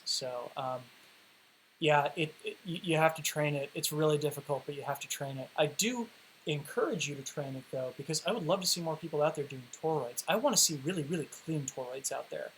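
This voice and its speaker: Hoarse man teaching poi on a livestream. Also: fairly high pitch, brisk, poor audio. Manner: chatty, rambling explanations with many fillers and restarts. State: losing his voice. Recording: home livestream with faint hiss.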